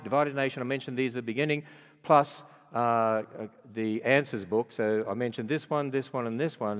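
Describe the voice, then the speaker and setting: An older man with an Australian accent, closing a sermon and then making announcements in an earnest, conversational way with many fillers. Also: mid-range voice. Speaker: speaker. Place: large auditorium. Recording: dull sound with long hall reverb.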